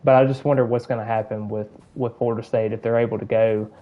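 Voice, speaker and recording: A man's voice: mid-range voice, level tone, fast talker. Southern guy from alabama rambling thru a game stream, lots of uhs, kinda muffled lo-fi audio. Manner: rambling, filler-heavy, chatting while playing. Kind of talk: casual gameplay commentary.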